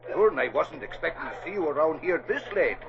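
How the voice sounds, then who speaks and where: A man playing an excitable, simple-minded Irish newsman, talking in folksy slang with an Irish accent in a muffled 1940s radio drama. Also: a little high, quick pace, creaky, a crowd in the background. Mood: easygoing.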